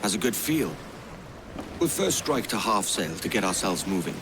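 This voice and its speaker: A man's voice: lowish voice, very animated, moderate pace, background noise. A man playing a confident, roguish young sailor in a video game, with a breezy, colloquial way of talking. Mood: easygoing. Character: brash, roguish young privateer sailor posing as another. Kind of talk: dramatic video game acting.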